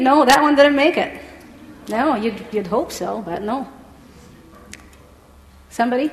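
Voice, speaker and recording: A woman's voice: sits high, a little hiss or hum. Egyptian-accented woman preaching in an echoey hall. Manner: engaging, asks the congregation questions. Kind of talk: sermon with scripture reading. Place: church hall. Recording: echoey hall with long reverb.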